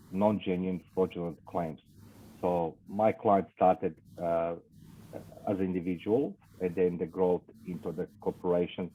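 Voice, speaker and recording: A man with a Croatian accent, a guest in an interview, speaking English as a second language with many fillers and halting phrasing. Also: low-pitched, lo-fi sound, a little hiss or hum. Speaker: guest, investigations business owner. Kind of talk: casual interview talk.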